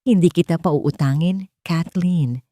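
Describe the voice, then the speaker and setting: Woman reading as a rich guy in a suit, calm and unshaken, dry close sound. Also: on the high side, unhurried, no room sound, natural voice. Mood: determined. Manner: steady, unshaken. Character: determined wealthy young man in suit, calm.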